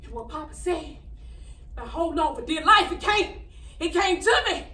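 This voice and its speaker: A woman performing a dramatic monologue as a frightened, defiant young country girl, telling her story haltingly and with great emotion. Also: lively intonation, pushed and bright, heavily acted. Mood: tense, defiant. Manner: halting, repeating words, highly emotional storytelling. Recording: echoey room, distant mic, faint noise.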